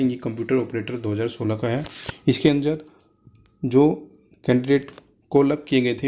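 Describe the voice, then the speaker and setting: A man speaks as a coaching presenter at a middle pitch. He explains things informally, one step after another, addressing students directly and dropping English terms into his sentences. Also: warm tone.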